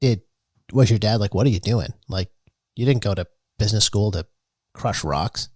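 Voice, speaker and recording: A male podcast host leading a mock public-radio style interview in a dry, deadpan manner. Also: lowish voice, normal speed, dry recording.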